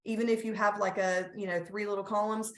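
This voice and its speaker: A woman's voice: mid-range voice, flat delivery, fast talker, a bit bright, roomy sound. A woman speaking to teachers at a conference over a video call, earnest and encouraging, often restarting her sentences. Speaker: school staff presenter.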